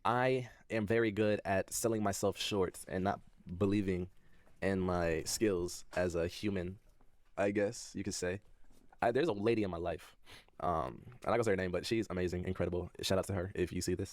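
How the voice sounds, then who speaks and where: A man in his twenties speaking candidly to his audience, soft-spoken and self-doubting, with nervous laughs. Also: sits low, normal speed, dry recording. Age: 20s. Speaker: content creator in a clip being reacted to.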